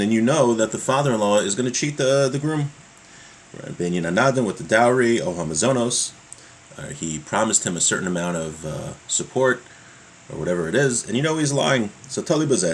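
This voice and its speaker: A man giving a religious law lesson, reading from a text and explaining it in a halting way, repeating his points. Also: medium pitch, animated, a little hiss or hum. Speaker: religious teacher.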